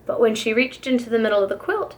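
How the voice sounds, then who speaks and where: A woman with a fairly high voice narrates a children's picture book. She reads as a preschool teacher does, with careful, dramatic expression. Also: animated, quick pace, darkish tone.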